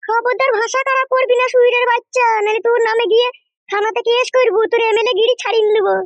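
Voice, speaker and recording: A woman's voice: extremely high pitch, steady tone, quick pace, no room sound. A woman voicing an indignant, foul-mouthed wife in a comic cartoon. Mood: indignant scolding. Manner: scolding, threatening, heavy rustic swearing.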